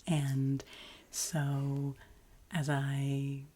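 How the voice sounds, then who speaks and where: A woman with a deep, husky voice chats gently as an ASMR host, soft-spoken and often whispering. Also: completely flat delivery, slight background noise. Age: adult, past college. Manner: slow, gentle, soothing delivery.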